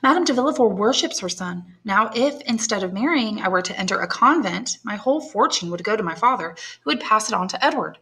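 A woman's voice: medium pitch, expressive, fairly quick, few pauses, pushed and bright, pitched above the performer's own voice. A woman reading aloud and voicing an anxious young woman in love, earnest and pleading. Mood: anxious, tender. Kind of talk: audiobook character voice.

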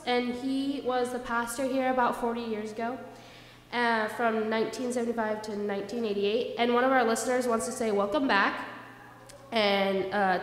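A young woman reading aloud to a congregation as a co-presenter, at a stand microphone in a large echoey church. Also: fairly high pitch. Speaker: co-presenter reading listener dedications. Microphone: vintage-style stand microphone. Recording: echoey church hall, faint noise.

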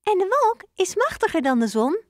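A woman's voice: very high voice, big pitch swings, normal speed, no room sound, clean studio sound, voice pushed up. A woman voicing a curious young female mouse in a children's storybook. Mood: eager.

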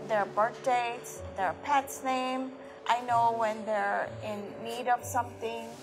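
A woman's voice: fairly high pitch, steady tone, slow, music in the background, low-quality recording. A woman who runs a hotel business, talking in a documentary interview.

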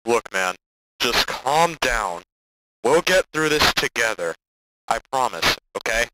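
A man's voice: medium pitch, very animated, slow pace, very noisy. A man playing a level-headed young cartoon hedgehog who hosts an amateur audio drama, casual and slangy. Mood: calming. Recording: loud music behind the voice.

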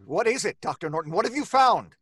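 An actor playing an anxious, agitated husband in an old-time radio drama, urgent and halting. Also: male voice, high voice, big pitch swings, fairly bright tone, husky, no echo, heavily acted. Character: anxious, agitated husband searching for his missing wife. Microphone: studio microphone in a padded booth.

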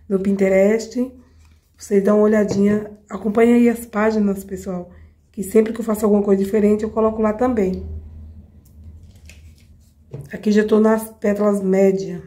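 Hoarse Brazilian woman teaching a craft. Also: mid-range voice, little pitch movement, normal speed, dark tone. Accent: Brazilian Portuguese. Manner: chatty, addresses viewers directly with frequent checks. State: hoarse from a cold. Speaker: craft tutorial host. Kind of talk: step-by-step craft demonstration. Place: home craft table, indoors.

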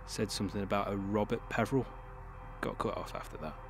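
Terse, commanding man, acted, audio drama, lowish voice, noisy ambience. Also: quick pace, close to an everyday voice. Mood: tense explaining. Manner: terse, no-nonsense. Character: brusque, urgent man, secretive and commanding.

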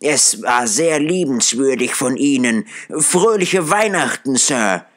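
Ein Mann spielt einen überglücklichen alten Geizhals. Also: male voice, mid-range voice, animated, takes it slowly, fairly bright tone, pitched above the performer's own voice. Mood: overjoyed. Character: joyful reformed old miser, male.

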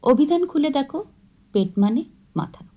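A woman's voice: sits high, animated, moderate pace, warm tone, voice pushed up. A woman voicing a young male admirer, teasing and mock-serious, in an audiobook story.

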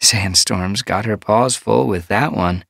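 A woman playing a brisk, kindly cat healer. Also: a bass voice, gravelly, a bit bright, no echo, studio quality, exaggerated voice. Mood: warm. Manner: brisk, kindly, matter-of-fact. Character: calm, brisk female medicine cat.

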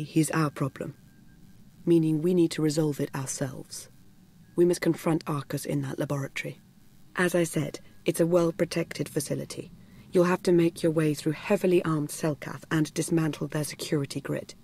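Low-voiced, commanding dark agent. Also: female voice, fairly even intonation, husky. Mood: resolute. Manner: formal, measured. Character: composed, secretive female dark-order agent, commanding and grave. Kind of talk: video game acting.

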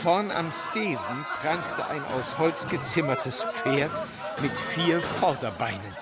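A man's voice: a little high, animated, slow. An actor playing a member of a sea voyage who narrates his memories descriptively in a radio play. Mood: wry, lively. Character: male voyage member recounting a sailors' prank. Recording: loud music and crowd noise behind.

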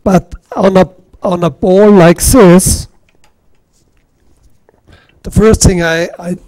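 A man's voice: high voice, fairly even intonation, a little mellow, dry recording. Austrian man demonstrating woodturning craft. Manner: halting, self-deprecating, many restarts. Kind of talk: casual workshop demonstration. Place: club meeting room.

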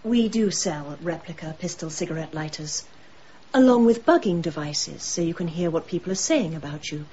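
Scornful villainess, slightly muffled. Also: female voice, deep voice, animated, normal speed, dark tone, slight background noise. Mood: smug menace. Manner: mocking, scornful. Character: bitter, sardonic murderous wife holding people at gunpoint. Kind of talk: radio drama acting.

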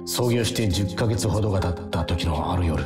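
A man's voice: sits low, moderate pace, roomy sound, far from the mic, over music, close to an everyday voice. An actor playing a middle-aged factory boss narrating his hard early years in a reflective radio drama.

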